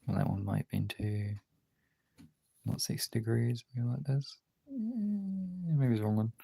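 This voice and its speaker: A mellow, soft-edged voice at a middling pitch belongs to an art streamer. The talk is casual and informal, peppered with swearing as they demonstrate drawing. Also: expressive, unhurried, creaky, breathy edge. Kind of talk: casual livestream chat while demonstrating drawing.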